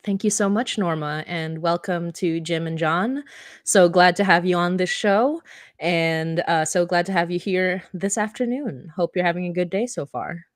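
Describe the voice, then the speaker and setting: A woman's voice: lowish voice, normal speed, no echo, clean studio sound. A woman hosting a live podcast stream in a casual, conversational style. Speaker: host, podcaster.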